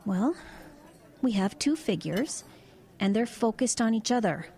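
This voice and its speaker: A woman's voice: fairly high pitch, expressive, unhurried, warm tone. A woman playing a level-headed truck driver in an eerie audio drama, talking in an everyday conversational way. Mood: intrigued, thoughtful. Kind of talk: audio drama dialogue and narration.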